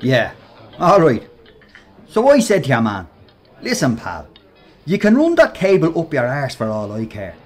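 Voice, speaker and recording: An actor with a Dublin accent playing a brash, mocking workplace bully in a radio play, talking in slangy, sneering bursts. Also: male voice, medium pitch, wildly swooping pitch, a little slow, long pauses, soft background music. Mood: indignant bluster. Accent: Irish, Dublin.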